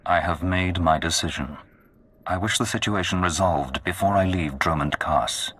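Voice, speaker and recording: An actor playing a menacing, imperious Sith lord in a video game, speaking with slow, cold command. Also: male voice, low-pitched, fairly bright tone, husky. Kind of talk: video game cutscene acting.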